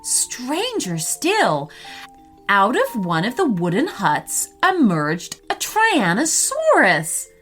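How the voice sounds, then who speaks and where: Female bedtime story narrator, expressive and slow-paced, with very animated intonation over soft music. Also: fairly high pitch. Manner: expressive storytelling for young children. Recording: music behind the voice.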